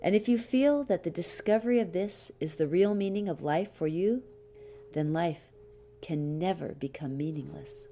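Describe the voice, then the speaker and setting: Mellow, lower-voiced woman reading aloud. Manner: deliberate, with frequent pauses between short phrases. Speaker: audiobook reader. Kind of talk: measured reading of a spiritual teaching text. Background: music behind the voice.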